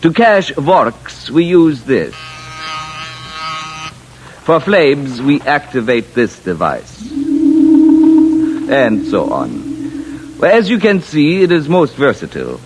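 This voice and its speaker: An actor playing a courteous, smugly confident alien official in an old radio drama, speaking stiffly and precisely without contractions. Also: male voice, mid-range voice, very animated, takes it slowly, long pauses, music in the background. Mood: formal, explanatory. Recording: muffled vintage radio broadcast.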